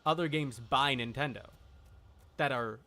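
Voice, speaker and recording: A man's voice: mid-range voice, unhurried, sharp tone. A man livestreaming a video game, chatting casually in an opinionated, emphatic, argumentative way. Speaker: streamer.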